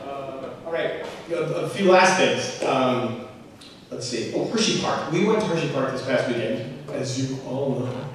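A man's voice: mid-range voice, expressive, slight background noise. Rambling man on an auditorium stage. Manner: rambling, self-deprecating, halting with fillers. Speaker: school orchestra teacher. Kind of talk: casual remarks to an audience. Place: large school auditorium stage. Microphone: handheld mic, far camera. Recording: echoey hall from far off.

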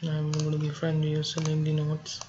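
Flat monotone man on a dull, noisy mic. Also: a little high, fairly quick. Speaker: software tutorial instructor. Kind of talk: step-by-step screen tutorial narration. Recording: dull lo-fi mic, keyboard clicks, noise.

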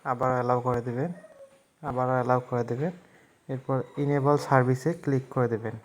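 Even-toned man giving instructions. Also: medium pitch, fairly quick. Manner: instructional, repetitive step-by-step phrasing. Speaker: tech tutorial host. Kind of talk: step-by-step phone app tutorial narration.

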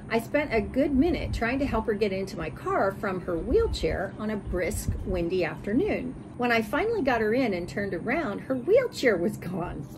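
Animated American woman in her sixties, noisy background. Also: medium pitch. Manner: animated, giggly, expressive. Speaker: sewing vlog host. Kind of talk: casual chat to camera, reading out viewer comments. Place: bright curtained porch or sunroom.

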